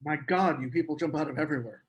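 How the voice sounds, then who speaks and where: An actor plays an evasive, well-mannered gentleman in a drama table read. His husky voice sits a little high for a man, and his formal courtesy carries an uneasy edge. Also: fast talker, highs cut off. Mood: flustered. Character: anxious, evasive gentleman lodger, politely making excuses.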